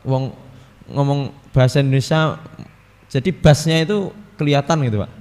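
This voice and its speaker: A man with a Javanese accent giving a religious study lecture, reading Arabic text and explaining it in a relaxed, chatty way to his audience, often clearing his throat. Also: mid-range voice, moderate pace, big-room sound. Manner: mixes Arabic recitation with casual explanation, addresses audience as Bapak Ibu. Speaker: ustadz teaching a hadith book.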